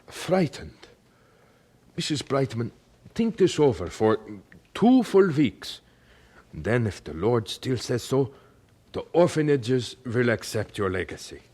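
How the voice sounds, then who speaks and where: An actor playing a devout, calm and patient orphanage founder, solemn and deliberate, in an old radio drama. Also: male voice, mid-range voice. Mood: solemn, firm.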